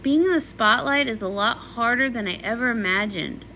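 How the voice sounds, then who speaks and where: A woman's voice: a little high, moderate pace, pushed and bright, a little hiss or hum. A woman voicing a young female pony pop star, dramatic, defensive and a little whiny, in a comic read-along. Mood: apologetic.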